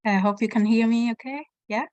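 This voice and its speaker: A woman from Tanzania speaking on a video call in a cheerful, friendly manner. Her voice is smooth and resonant, and the sound is dry and close. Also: fairly high pitch, fairly even intonation, a little fast, a little mellow, no room sound. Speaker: event host and panelist. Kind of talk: casual self-introduction on a call.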